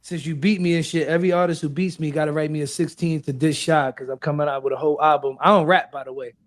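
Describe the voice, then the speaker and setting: A man's voice: on the high side, steady tone, fast talker. A man from Bushwick, co-hosting a podcast and teasing the guest with playful complaints. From Bushwick, New York. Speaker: podcast co-host. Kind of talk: casual podcast chat.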